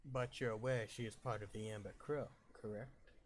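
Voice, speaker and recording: A man's voice: lowish voice, steady tone, moderate pace. A man narrating a tabletop game as the game master, on noisy home audio. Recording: clearly noisy home online-call audio.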